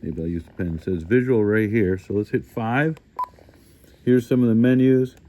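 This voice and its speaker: Low-pitched man, casual gadget walkthrough. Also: moderate pace, mellow. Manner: informal, unscripted, thinking aloud. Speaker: host of a hobby radio review channel. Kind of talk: casual hands-on product walkthrough.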